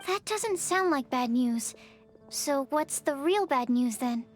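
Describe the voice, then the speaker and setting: A woman's voice: high voice, expressive, slow pace. A woman playing a shy, studious young female alchemist in a video game, speaking in a hesitant, careful, analytical way. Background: music.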